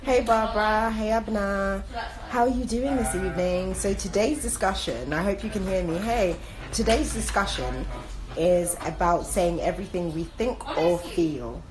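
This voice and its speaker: A young woman with a croaky voice hosting a casual live chat, bubbly and laughing often, sometimes restarting when tongue-tied. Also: medium pitch, moderate pace, rough recording.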